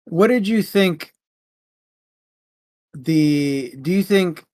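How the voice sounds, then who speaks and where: A man in a casual book discussion, informal and halting as he searches for his words. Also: on the high side, animated, a little mellow, dry recording, rough recording.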